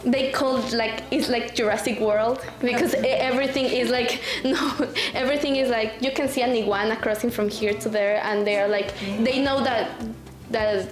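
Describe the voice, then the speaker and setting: Woman from ecuador, high voice, halting, restarts a lot, echoey room. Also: steady tone. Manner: halting, restarts words, laughs easily. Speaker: guest. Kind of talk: casual podcast chat.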